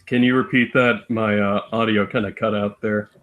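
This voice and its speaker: A man's voice: fairly low pitch, little pitch movement, normal speed, a bit bright. A man co-hosting a live stream in casual chat, chiming in with short, jokey reactions.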